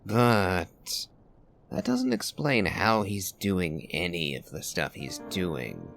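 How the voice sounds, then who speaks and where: A man giving reflective video game commentary in a deliberate, analytical, musing manner. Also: deep voice, big pitch swings, creaky, fairly bright tone. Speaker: game streamer. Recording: game music behind the voice. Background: video game music.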